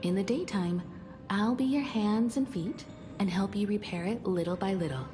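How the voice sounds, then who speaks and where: A woman playing a poised, devoted mature woman in anime game style, calm and reassuring, with a measured, gently teasing manner. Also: mid-range voice, over music, close to an everyday voice. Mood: warm, eager.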